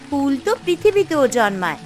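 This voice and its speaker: একজন মহিলা রূপকথার কার্টুনে মেয়ের প্রতি নিবেদিত এক আন্তরিক মায়ের চরিত্রে আবেগ দিয়ে কণ্ঠ দিচ্ছেন।. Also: female voice, high voice, sing-song, normal speed, soft background music. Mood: puzzled, disappointed. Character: devoted mother seeking a birthday gift for her daughter. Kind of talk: animated fairy tale voice acting.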